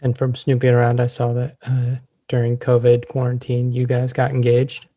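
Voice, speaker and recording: A man's voice: mid-range voice, completely flat delivery, normal speed, mellow. A man hosting a podcast in a casual, conversational interview style, easygoing and to the point. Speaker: podcast host.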